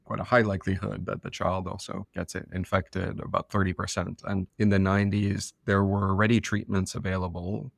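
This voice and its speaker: A man's voice: low-pitched, level tone, a little fast, gravelly, few pauses, no room sound. A middle-aged man being interviewed as an expert guest, speaking thoughtfully and often restarting his sentences. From France and elsewhere. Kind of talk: conversational podcast interview.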